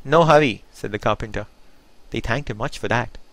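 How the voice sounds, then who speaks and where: Expressive man reciting verse, very dry sound. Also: fairly low pitch, fairly quick, vocal fry, no echo. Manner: theatrical, putting on voices for dialogue. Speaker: reader.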